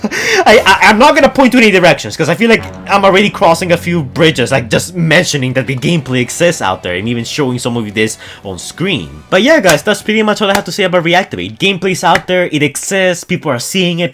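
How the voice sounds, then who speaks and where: A man talking informally and excitably to his viewers as a gaming news YouTuber, in a casual, enthusiastic commentary style. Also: fairly high pitch.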